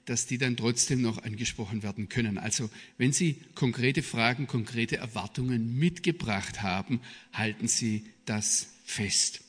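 A man's voice: mid-range voice, normal speed, slightly rough. Middle-aged southwest German man in echoing hall. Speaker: guest speaker, journalist and theologian. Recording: reverberant hall, long echo.

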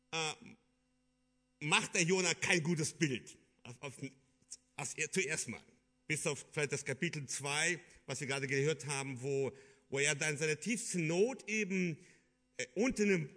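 Bright-voiced German preacher man. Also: on the high side. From Kyrgyzstan. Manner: earnest, hesitant with many fillers and restarts. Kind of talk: sermon.

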